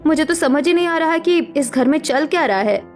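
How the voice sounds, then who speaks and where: A woman's voice: high voice, lively intonation, fairly quick, faint music behind. A woman voicing a concerned, kindly young newlywed daughter-in-law in a cartoon family drama. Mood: puzzled, concerned.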